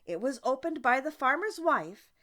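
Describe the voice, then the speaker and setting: A woman reading a children's story aloud as an audiobook narrator, expressive and deliberate. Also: high voice. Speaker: audiobook reader.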